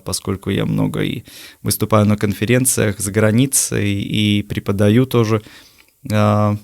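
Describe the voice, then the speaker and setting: Young man from Latvia, native Latvian, low voice, hesitant pauses, interview guest. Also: creaky, darkish tone. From Riga, Latvia. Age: 20s. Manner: thoughtful, hesitant, with frequent filler pauses. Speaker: guest, university lecturer. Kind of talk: casual interview answers.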